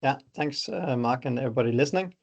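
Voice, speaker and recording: A middle-aged Danish-accented man walks listeners through how an app works, in a relaxed, conversational way. His voice has a gravelly, creaky edge and sits at a middling pitch. He talks like someone presenting on an online call. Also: fast, muffled recording. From Denmark. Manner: explanatory, conversational pitch with examples. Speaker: startup founder presenting.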